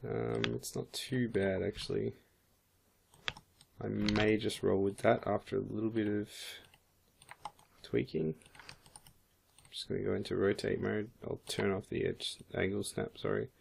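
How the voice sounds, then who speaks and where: A man with a croaky voice, casually thinking aloud as he walks through a 3D modeling software tutorial. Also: fairly low pitch, steady tone, measured pace, long pauses, background noise. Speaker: 3D modeling instructor. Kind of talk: screen-recorded software tutorial.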